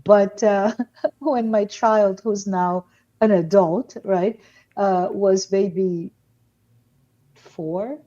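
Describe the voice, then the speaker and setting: Slow, mellow woman. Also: medium pitch, fairly even intonation, muffled recording. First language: Portuguese. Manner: reflective, self-deprecating, many pauses. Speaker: podcast co-host. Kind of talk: casual spiritual discussion.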